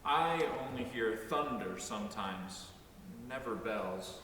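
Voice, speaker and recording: A man's voice: lowish voice, animated, slow, sharp tone. A man in a dramatic reading, playing the listener who puts short questions and prompts to a storyteller. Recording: very echoey hall, distant mic, faint noise.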